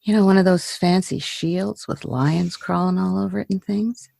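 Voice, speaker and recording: A woman playing a jaded, frightened film star from the slums in a 1940s-style radio drama, breathy, halting and slangy, brooding with bitter wistfulness. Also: lowish voice, fairly even intonation, dark tone, no echo, studio quality. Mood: bitter, nostalgic. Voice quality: husky, breathy.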